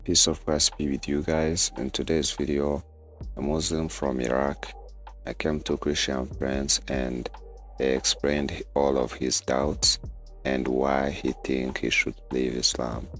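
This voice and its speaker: A man with an extraordinarily deep voice calmly introduces a video on his channel. Faint music sits behind him. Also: takes it slowly.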